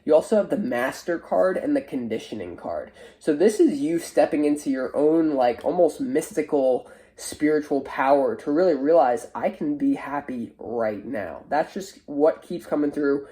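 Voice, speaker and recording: A tarot host with a soft, dark-edged, mellow tone and a mid-range pitch. The nose sounds blocked, giving a nasal, stuffy color. The delivery is informal and chatty, feeling its way through a spiritual card reading. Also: expressive, moderate pace, hardly any pauses. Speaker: tarot reader, online show host.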